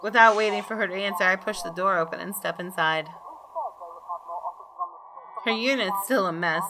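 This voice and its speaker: A woman reading visual novel story text aloud in a measured, expressive, deliberate way. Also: medium pitch. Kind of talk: dramatic narration of story text. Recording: clearly noisy background.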